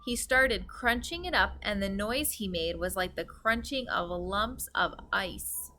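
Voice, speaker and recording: Bright-voiced woman reading a children's story. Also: mid-range voice, animated, a little slow. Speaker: read-aloud storyteller. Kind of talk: expressive children's story narration. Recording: faint background noise.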